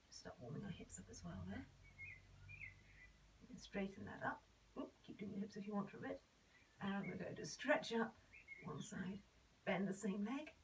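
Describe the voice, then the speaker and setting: A woman teaching a belly dance warm-up while suffering from a cold, her voice hoarse and often slipping into a whisper as she calmly cues each move and counts the beats. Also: fairly high pitch, a little hiss or hum. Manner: calm step-by-step cueing, counting beats. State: has a cold, voice nearly gone. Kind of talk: instructing an exercise warm-up. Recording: voice a bit far from the mic.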